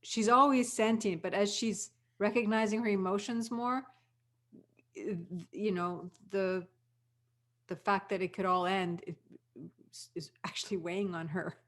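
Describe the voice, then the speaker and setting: Woman cast member, average pitch, casual discussion delivery. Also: steady tone, a little slow, fairly bright tone. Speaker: audio drama cast member.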